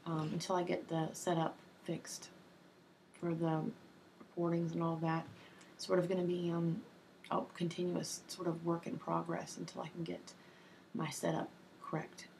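Soft-spoken hoarse woman, flat and low. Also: a little hiss or hum, rough recording. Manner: soft-spoken, slow and even, with ums and uhs. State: hoarse from a cold. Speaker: ASMR creator. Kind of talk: soft-spoken ASMR reading of a personal testimony. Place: small home office indoors.

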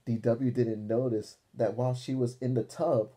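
A young man reading a picture book aloud to children like a teacher, pausing to ask questions. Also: medium pitch, flat delivery, dark tone, breathy edge. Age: young adult. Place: small room indoors.

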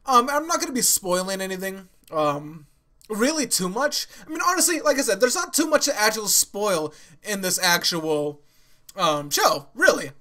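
A man's voice: high voice, sing-song, moderate pace, sharp tone. A young man with a stuffy nose and sore throat, chatting casually and laughing as he hosts an anime reaction from his small bedroom on a headset mic. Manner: rambling, laughing, restarts a lot. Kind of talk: casual chat to camera. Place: small bedroom with yellow walls.

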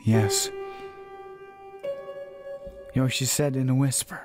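A man's voice: medium pitch, fairly even intonation, takes it slowly, over music. A man reading a visual novel aloud as dramatic narration, often stumbling and rereading his lines.

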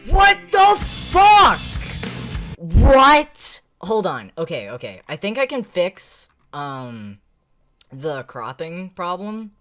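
A young man giving a casual, sweary live reaction as a game streamer, with an excitable, slangy manner. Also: high-pitched, slow, faint music behind. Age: young adult. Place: indoors, small home room.

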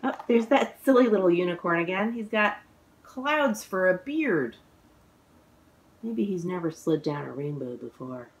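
Slow, animated woman reading to children. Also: lowish voice, a little hiss or hum. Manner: expressive, exclaiming, drawing out words for young listeners. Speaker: children's author reading own picture book aloud. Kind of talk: animated children's read-aloud storytelling. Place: living room at home, indoors.

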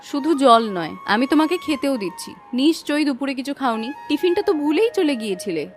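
High-voiced fond Bengali cartoon wife, acted by a woman. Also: big pitch swings, fairly quick, soft background music. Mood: caring. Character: affectionate, bossy young Bengali wife in fairy-tale cartoon. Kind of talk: cartoon voice acting, domestic dialogue.

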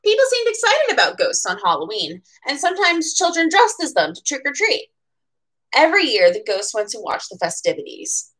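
Animated young American woman reading a storybook. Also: high voice, moderate pace. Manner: expressive storytelling, chatty casual asides. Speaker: bookseller reading at a storytime event. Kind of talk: children's picture book read-aloud with casual asides. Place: small room at home.

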